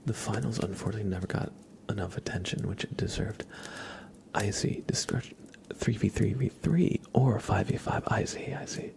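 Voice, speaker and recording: A man's voice: lowish voice, moderate pace, creaky, darkish tone. A young man whispering casual, rambling chat as the host of an ASMR livestream, often hesitating.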